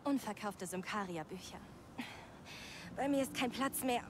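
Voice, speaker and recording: A woman's voice: mid-range voice, lively intonation, a little slow, background noise, natural voice. A woman voicing an earnest, driven young female journalist in a dubbed video game. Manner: serious, thoughtful game dialogue. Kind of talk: German game dub.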